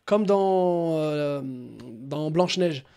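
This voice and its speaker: A young man with a notably high voice talks on a casual live stream. He is animated and slangy, swears freely and spins crude stories like a prank caller. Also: very slow, creaky, clean tone. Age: young adult. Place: small room at home.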